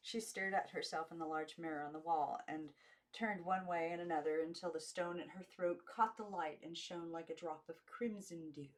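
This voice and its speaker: A woman reads a story aloud in the manner of a home audiobook narrator. Her voice sits a little low for a woman as she delivers the scripted narration. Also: fairly even intonation, moderate pace, poor audio. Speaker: amateur audiobook reader.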